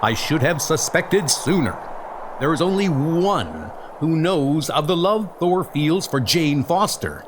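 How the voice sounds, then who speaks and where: Comic drama lead: vengeful thunder god, played by a man, higher-pitched, slow, declamatory, music behind. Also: sing-song, noisy background. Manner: declamatory, archaic heroic speech. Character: enraged, vengeful thunder god, noble and grandiose. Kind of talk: comic book audio dramatization.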